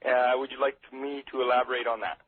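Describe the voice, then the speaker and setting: A man's voice: medium pitch, little pitch movement, fast talker, no echo. A Canadian man calling a radio show by telephone, rambling casually in a nervous, hesitant, folksy and jokey way. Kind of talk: casual, rambling call-in chat. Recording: thin narrow telephone line.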